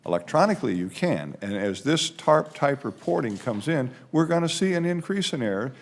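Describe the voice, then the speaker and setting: A man's voice: mid-range voice, big pitch swings, normal speed. A man testifying as an aviation official at a hearing, explaining things in an emphatic way. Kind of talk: spoken testimony.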